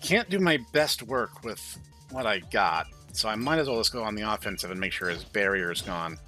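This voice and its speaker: A man's voice: on the low side, lively intonation, moderate pace, bright, forceful tone. A man giving casual, wry and self-deprecating commentary as he plays a game, with game music behind him. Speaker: gaming video host reading a visual novel aloud.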